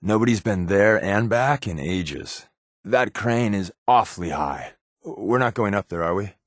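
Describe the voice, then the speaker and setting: An American actor playing a sardonic, wisecracking secret agent companion in a video game, with a wry, offhand delivery. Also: male voice, on the low side, animated, a little slow, no echo, studio quality. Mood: wry, musing.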